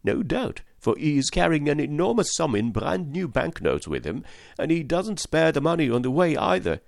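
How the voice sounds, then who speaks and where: A man reading an audiobook voices an excitable, voluble French manservant who talks fast and stubbornly. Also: fairly high pitch, gravelly, no room sound, clean studio sound, pitched above the performer's own voice. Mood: confiding.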